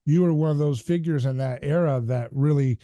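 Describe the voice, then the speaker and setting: A man's voice: fairly high pitch, a little fast, warm tone, dry recording. A man hosting an interview, backing up his guest with frequent "yeah"s and asking questions with many restarts. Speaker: podcast host. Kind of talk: interview, casual chat.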